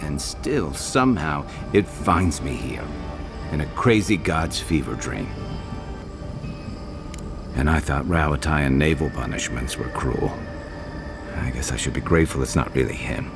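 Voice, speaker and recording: An actor playing a gruff, haunted veteran adventurer in a video game, weary and wry, with a gravelly voice. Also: male voice, lively intonation, unhurried, pauses often, heavily acted. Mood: regretful, wistful. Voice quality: very deep, gravelly. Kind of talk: video game character acting. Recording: music behind the voice. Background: music.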